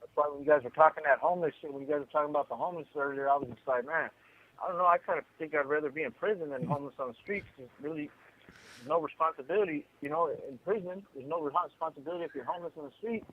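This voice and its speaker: A man's voice: a little high, racing. A man in his forties calling in from prison, talking earnestly and repeating words as he starts each thought. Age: 40s. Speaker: incarcerated guest on a call-in show. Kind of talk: casual phone chat. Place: prison phone. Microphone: phone line. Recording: faint noise on a prison phone line.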